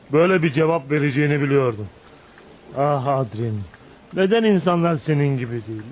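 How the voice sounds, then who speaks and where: Radio play lead: bitter, brooding outcast in his thirties male actor, emotional and dramatic, higher register, light background noise. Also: a little mellow, close to an everyday voice. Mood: wistful, pleading. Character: tormented, bitter 35-year-old outcast man, brooding and volatile. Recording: noisy radio drama recording.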